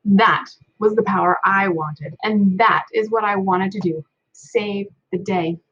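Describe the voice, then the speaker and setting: A woman reads a storybook aloud in first person. Her voice sits at an average pitch. The recording is a bit dull and muffled. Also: measured pace, fairly bright tone, breathy edge. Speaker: story reader. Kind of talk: read-aloud storybook narration.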